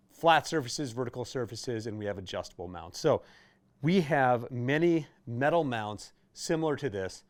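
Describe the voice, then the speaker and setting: Casual man explaining products. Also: medium pitch. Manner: conversational, explanatory, hands-on demonstrating. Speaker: presenter for a CB radio retailer.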